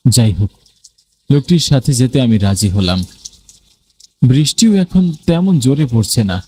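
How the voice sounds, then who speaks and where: A man's voice: mid-range voice, dark tone, slight background noise, poor audio. A man narrating a scripted first-person ghost story in a dramatic, suspenseful manner.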